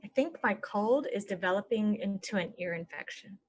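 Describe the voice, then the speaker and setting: A woman teaching English phrases, saying each one and then repeating it slowly and clearly for learners. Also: mid-range voice. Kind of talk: slow phrase-by-phrase language lesson.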